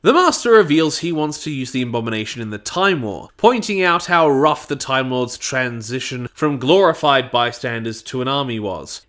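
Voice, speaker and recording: A man's voice: fairly high pitch, fairly bright tone. A man narrating a scripted review as the reviewer.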